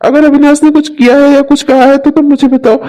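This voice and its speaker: A man's voice: very high-pitched, fairly even intonation, moderate pace, warm tone. A man voicing a caring young male friend who talks in a concerned, coaxing and chatty way in an amateur audio drama.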